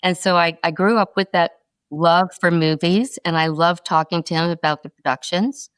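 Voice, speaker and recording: A middle-aged woman from North Carolina, a podcast guest answering interview questions in quick, expressive stories. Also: fairly low pitch, smooth, dry recording, clean studio sound.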